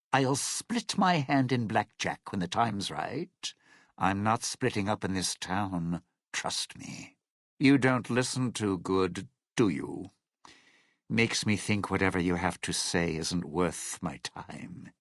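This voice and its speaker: A man's voice: mid-range voice, dry recording, studio quality, full character voice. A man playing a slick, cynical lounge singer turned scheming survivor in a video game, with smug, theatrical showbiz patter and an edge of menace.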